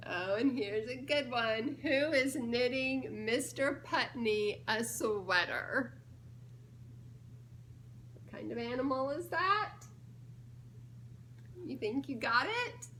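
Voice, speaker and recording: Woman, high-pitched, very slow delivery, playful children's read-aloud, giggly, coaxing questions, noticeable background noise. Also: lively intonation, pushed and bright. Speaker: storybook reader, children's ministry director. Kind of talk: children's story time read-aloud with questions to young listeners.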